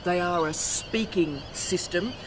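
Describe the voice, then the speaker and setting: A woman's voice: lowish voice, slow. A middle-aged woman, a university academic, giving an emphatic, forthright instructional vlog talk outdoors on a campus by the ocean, filmed on her own camera. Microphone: self-filmed camera outdoors. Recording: outdoor noise with birds and traffic.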